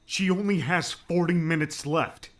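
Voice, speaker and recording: A man's voice: fairly high pitch, measured pace, pitched above the performer's own voice. A man voicing a worried, protective male mentor, stern and pleading, in an audiobook drama. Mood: urgent pleading. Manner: forceful, urgent. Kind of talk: dramatic audiobook character acting.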